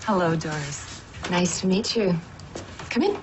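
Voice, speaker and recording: A woman's voice: on the low side, lively intonation, unhurried. An actress playing a friendly, encouraging woman in naturalistic TV film dialogue. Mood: welcoming. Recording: noisy ambience behind dialogue.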